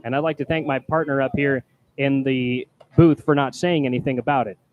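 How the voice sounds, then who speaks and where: A man calling live baseball play-by-play from a booth as announcer, projecting loudly on the calls. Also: medium pitch, fairly even intonation, brisk, narrow, tinny audio. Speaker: baseball play-by-play announcer, also doing public address. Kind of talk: live play-by-play sports commentary. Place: press booth at a ballpark. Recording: clear background noise.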